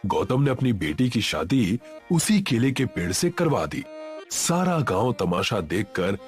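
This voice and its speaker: A man's voice: mid-range voice, sing-song, a little slow, faint music behind. A man narrating a scripted folk story as a storyteller.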